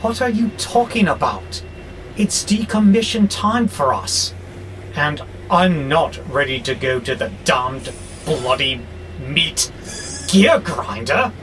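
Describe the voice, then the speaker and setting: Man playing a grumpy slow-talking robot, animated pitch. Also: a little hiss or hum, poor audio. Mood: defiant. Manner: sardonic, exasperated, grumbling. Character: grumpy, belligerent male warehouse robot, war-hungry, wary. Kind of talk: audio drama acting.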